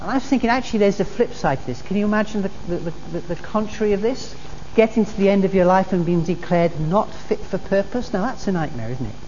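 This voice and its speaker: A woman's voice: medium pitch, expressive, fast, mellow. A woman preacher delivering a sermon, earnest and repeating her key phrases for emphasis. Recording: dull recording in a reverberant hall with faint noise.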